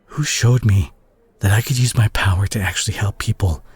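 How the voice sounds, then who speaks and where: A man playing a protective, guilt-ridden brawler superhero in an intimate audio drama, speaking earnestly with a husky, breathy voice. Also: lowish voice. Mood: impassioned, reproachful. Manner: earnest, emotional, halting delivery with sighs. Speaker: audio roleplay voice actor.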